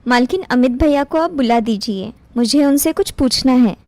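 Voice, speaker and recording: A woman's voice: high voice, normal speed, a little mellow, dry recording. A woman voicing an earnest, polite 22-year-old cook in a cartoon story, speaking deferentially. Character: poor hopeful young woman cook, 22, earnest.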